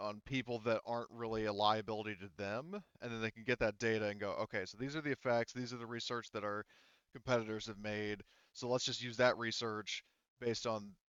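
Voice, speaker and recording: A man's voice: fairly low pitch, a bit bright, clear, resonant tone, dry recording, dull audio. A man acting as game master, explaining and improvising casually in a rambling, self-correcting way.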